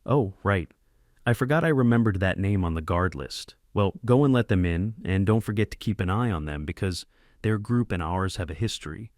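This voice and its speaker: Guard boss, male actor for radio drama: fairly low, casual, forgetful authority, dry sound. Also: expressive, moderate pace, a little mellow, no echo. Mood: authoritative, absent-minded. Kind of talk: amateur radio drama acting.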